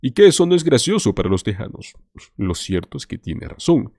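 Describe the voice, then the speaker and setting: A man's voice: medium pitch, sing-song, fairly quick, creaky, mellow, breathy edge, no room sound, clean studio sound. A man hosting a political commentary show, talking to viewers in an emphatic, scripted way. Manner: emphatic, addresses viewers as "señores".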